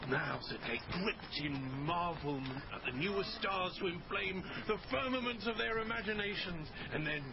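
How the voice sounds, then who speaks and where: A man's voice: sits high, expressive, normal speed, gravelly, hardly any pauses, highs cut off, background noise. A man playing a grandiloquent theatrical father in a radio drama, florid and declamatory in manner. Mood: grand, rapturous. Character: grandiloquent theatrical father, head of a troupe of players.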